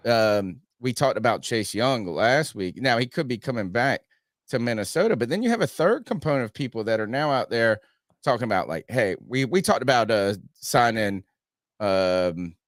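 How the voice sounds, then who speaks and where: A man's voice: medium pitch, expressive, normal speed, dry recording, clean studio sound. A man hosting a casual sports podcast, rambling through his points and swearing freely. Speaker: podcast host.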